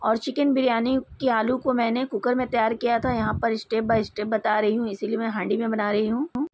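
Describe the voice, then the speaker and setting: High-pitched Indian woman giving cooking instructions. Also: flat delivery, moderate pace, a little mellow. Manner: instructional, methodical. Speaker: home cook presenting a recipe. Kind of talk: step-by-step cooking tutorial narration.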